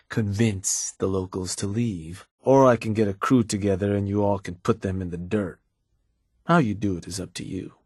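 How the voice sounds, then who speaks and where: An actor playing a laid-back, businesslike raider fixer in a video game, with a husky voice and a casual, wry, streetwise manner. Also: male voice, fairly low pitch, darkish tone, dry recording, studio quality. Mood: matter-of-fact, wry. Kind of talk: in-game character dialogue, conversational delivery.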